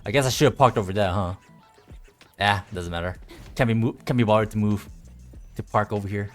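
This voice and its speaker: Low-pitched man rambling over gameplay. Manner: rambling, halting, low-key. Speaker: game streamer. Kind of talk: casual live gameplay commentary. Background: faint game music.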